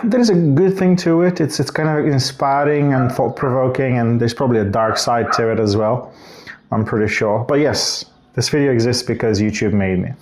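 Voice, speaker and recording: A man in his thirties from Bulgaria talks into his camera at home in an unhurried vlog. His voice sits at an ordinary mid pitch, and he circles his points with restarts and corrections. A faint hiss runs underneath. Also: a little mellow. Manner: reflective, rambling with restarts and self-corrections. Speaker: vlogger. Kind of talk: casual talking-head vlog.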